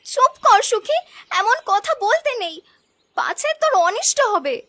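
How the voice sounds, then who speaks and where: A woman voicing a gentle, dutiful young granddaughter who speaks softly and pleadingly in an animated folk tale. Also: very high voice, exaggerated voice. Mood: hurt, pleading. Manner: pleading, soft-spoken, quick.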